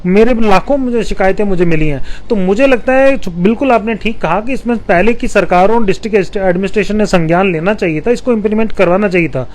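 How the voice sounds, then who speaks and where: A male councillor, answering a reporter's questions in a measured, explanatory way with frequent restarts. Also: sits high, darkish tone. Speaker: municipal councillor. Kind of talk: press interview answers.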